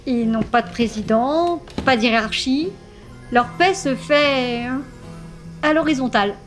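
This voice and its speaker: Female, high pitch, curt authority figure, fan audio drama, faint score. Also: measured pace, faint music behind. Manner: curt, bossy. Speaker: time-travel agent character. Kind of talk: amateur audio drama acting.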